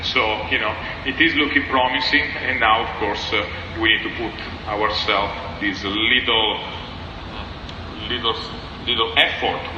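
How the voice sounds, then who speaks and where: A low-voiced man addresses his crew as a ship's captain. He speaks haltingly in English, searching for words. The sound is very reverberant, with clear noise over the announcement. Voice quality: non-native English phrasing. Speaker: cruise ship captain. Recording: echoey, noisy recording of a PA announcement.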